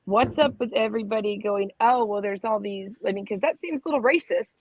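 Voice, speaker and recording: A woman's voice: mid-range voice, fairly quick, rough recording. A woman in a casual group stream who jokes around and asks skeptical questions.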